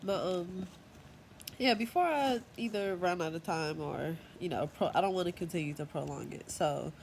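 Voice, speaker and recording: A woman's voice: fairly low pitch, moderate pace, gravelly, darkish tone, slight background noise. Stuffy-nosed young woman chatting to camera. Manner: rambling, chatty, full of fillers. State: congested from a cold. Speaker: channel host. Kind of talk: casual vlog monologue. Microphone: tablet microphone.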